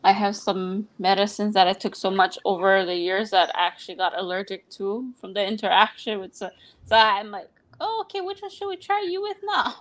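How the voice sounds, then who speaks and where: A woman's voice: medium pitch, fairly quick. A woman from Hawaii with a Hawaiian accent, chatting casually on a stream and giggling often. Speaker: guest speaker. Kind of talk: casual chat.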